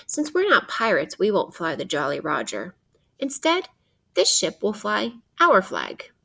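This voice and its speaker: A woman teacher reading a children's nonfiction book aloud in an expressive, instructive way, addressing young listeners directly. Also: mid-range voice, a little slow, vocal fry.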